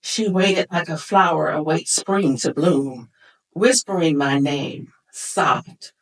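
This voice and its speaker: A mature woman poet reciting her poetry with deliberate, dramatic phrasing, recorded a little away from the microphone. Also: low-pitched, recorded from a distance, low-quality recording.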